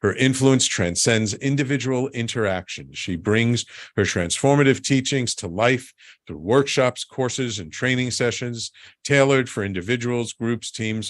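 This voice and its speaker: A man's voice: mid-range voice, moderate pace, dry recording, clean studio sound. A man hosting a talk radio interview, friendly and apologetic, often repeating words as he speaks. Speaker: radio show host.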